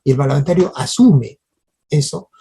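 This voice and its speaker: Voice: mid-range voice, moderate pace, creaky, dark tone, husky. A lecturer teaching a workshop, appealing to listeners directly with tag questions and often restarting mid-phrase. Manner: hesitant restarts and repeated words. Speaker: lecturer at a parliamentary training workshop. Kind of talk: spoken lecture to an audience.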